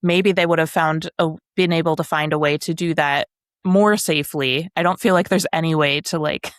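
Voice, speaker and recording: A woman's voice: low voice, fairly even intonation, normal speed, dry recording, studio quality. A woman co-hosting a podcast, chatting casually about books with a wry, sometimes sweary manner. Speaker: podcast co-host. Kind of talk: casual book discussion chat.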